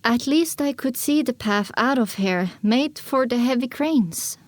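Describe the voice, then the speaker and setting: A woman plays a young filly pony narrating her own journey in a dramatic audiobook. The voice is fairly high and recorded very dry, with a reflective, scripted delivery. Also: animated, a little slow, no echo. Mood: reflective, somber. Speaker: first-person narrator.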